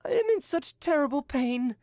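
Give the cries of a dying youth in pain, voiced by a woman, high and loud. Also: very animated, measured pace, no echo, clean studio sound, full character voice. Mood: anguished. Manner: crying out in pain. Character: dying young man in agony.

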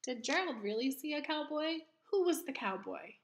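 A woman's voice: high-pitched, expressive, moderate pace. A middle-aged woman reading a picture book aloud to children and putting questions to them, recorded in a home kitchen. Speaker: storytime reader.

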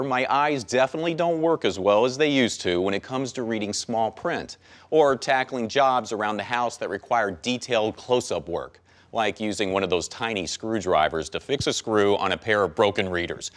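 A middle-aged man with a low voice that swoops up and down as he hosts an infomercial. He talks in an upbeat, chatty way, poking fun at himself. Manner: upbeat, chatty, self-deprecating. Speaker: infomercial host. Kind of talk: scripted infomercial chat. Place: TV studio set.

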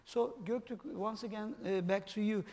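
A man with a Turkish accent leads a panel on a conference stage, pausing often with fillers. His voice sits high for a man and carries a long hall reverb. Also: fairly even intonation, darkish tone. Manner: halting, many fillers while reading figures. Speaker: panel moderator.

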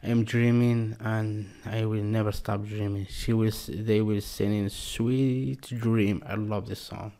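Guy reacting to music, lowish creaky voice, close mic in a small home room, keeps saying wow. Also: level tone, warm tone. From Morocco. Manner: halting non-native English, repeated exclamations. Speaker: reaction video host. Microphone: desk mic close to face. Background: music from the reacted video.

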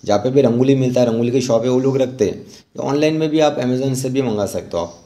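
A man with a soft-edged, mellow voice at a medium pitch walks through a craft as its instructor. His delivery is informal and instructive, moving haltingly from one step to the next. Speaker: rangoli art instructor. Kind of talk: informal step-by-step tutorial narration.

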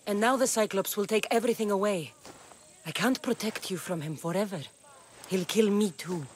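An actress playing a tough young mercenary in a video game, blunt and sarcastic. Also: female voice, mid-range voice, normal speed, slight background noise, lightly acted. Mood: exasperated. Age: young adult. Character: tough, sardonic young female Greek mercenary. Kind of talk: video game cutscene acting.

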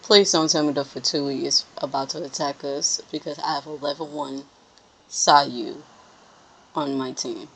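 A woman's voice: low-pitched, steady tone, a little slow, a bit bright, slight background noise, rough recording. A young woman giving casual reaction commentary as she plays a video game. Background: game music.

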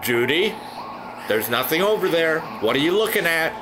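A curt, impatient orderly. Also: male voice, a little high, big pitch swings, moderate pace, noisy background. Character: impatient male hospital orderly.

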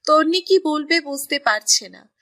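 A woman narrating a scripted romance story in an even storytelling manner. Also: high-pitched, a little slow.

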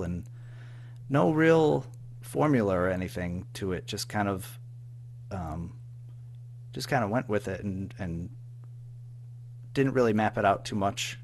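Low-voiced man chatting haltingly. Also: a little hiss or hum. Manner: hesitant, halting, self-effacing. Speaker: guest, audio drama writer and producer. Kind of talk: casual interview chat.

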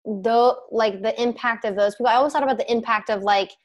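A young woman in her mid-twenties chats on a podcast through earbuds on a video call, her voice sitting a little high. She tells stories in an animated way, swearing freely as she goes. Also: fast talker, dull audio. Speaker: podcast co-host. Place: home room with bookshelf, indoors.

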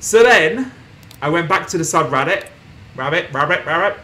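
Young male gaming streamer, casual playthrough chatter, slightly higher voice, faint background hiss. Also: animated, normal speed. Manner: chatty, reads on-screen posts aloud, laughs softly. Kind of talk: casual game playthrough commentary. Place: small room at home, indoors.

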